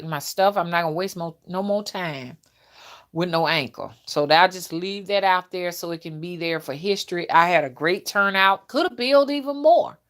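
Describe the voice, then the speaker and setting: A woman, chatting casually as a livestream host and talking straight to her viewers, often checking in with them. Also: medium pitch. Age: early fifties. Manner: conversational, addresses viewers directly, often checks in with "okay" and "you know". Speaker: livestream host and content creator.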